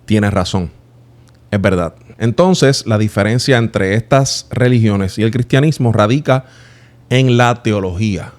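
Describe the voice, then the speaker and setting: A man's voice: lowish voice, measured pace, a little mellow. A man from Puerto Rico in his thirties with a Puerto Rican accent, hosting his own channel and earnestly explaining his reasons in a personal monologue that speaks straight to the viewer. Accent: Puerto Rican Spanish.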